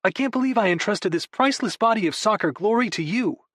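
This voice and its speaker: A whiny, theatrical young brother who makes a show of every complaint, as a male reader acts him out. His pitch sits far above the reader's own. The recording is dry and close. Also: lively intonation, quick pace, a bit bright, no room sound. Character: whiny, dramatic young man, playful brother.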